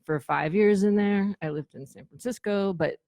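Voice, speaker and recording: A woman's voice: mid-range voice, moderate pace, vocal fry, dark tone, no room sound. An American woman in her fifties chatting casually and a bit ramblingly as an interview guest. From Trenton, New Jersey, United States. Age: 50s. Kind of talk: casual chat.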